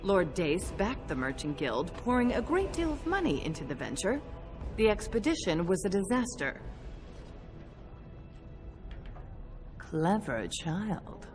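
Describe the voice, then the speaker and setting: A woman playing a shrewd, imperious older dwarf noblewoman in a fantasy game, sharp-tongued and commanding. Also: mid-range voice. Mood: knowing, explaining. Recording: music behind the voice.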